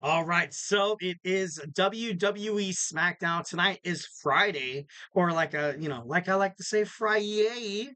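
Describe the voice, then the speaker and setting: A man hosting a wrestling podcast alone, rambling cheerfully with many fillers in a slightly raspy voice, close to the mic. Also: high-pitched, a little slow, hardly any pauses, pushed and bright, no room sound. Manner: rambling, filler-heavy, upbeat promo talk. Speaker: podcast host. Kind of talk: casual solo wrestling preview.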